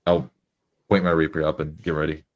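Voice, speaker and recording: A man's voice: very deep voice, flat delivery, fairly quick. A man playing a tabletop roleplay game, describing his character's actions in the first person.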